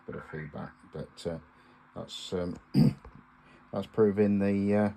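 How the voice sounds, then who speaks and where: A man giving a casual hands-on demonstration as a radio hobbyist host, speaking in a halting, hesitant way with pauses. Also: low-pitched, vocal fry, warm tone.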